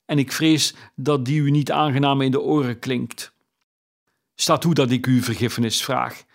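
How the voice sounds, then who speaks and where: A man voicing a reformed old miser, loud, elated and emphatic. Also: medium pitch, steady tone, moderate pace, close to an everyday voice.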